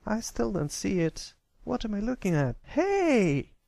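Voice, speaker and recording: A man's voice: high-pitched, wildly swooping pitch, unhurried, creaky, a little mellow, no room sound, voice pushed up, full character voice. A man voicing a brash, bossy, suspicious young filly pony in a curt, challenging way for a cartoon fan story. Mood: puzzled.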